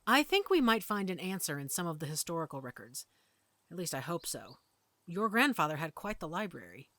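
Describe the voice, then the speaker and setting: A woman playing a calm, protective werewolf mother who leads her pack in an audio drama, speaking with authority. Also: fairly low pitch, fairly quick, no room sound. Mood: calm, commanding. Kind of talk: audio roleplay acting.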